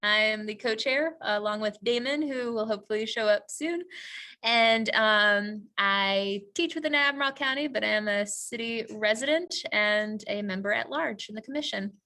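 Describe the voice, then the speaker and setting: Bright clear woman running a video meeting, friendly upbeat co-chair. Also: medium pitch, normal speed. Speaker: commission co-chair. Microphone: video call.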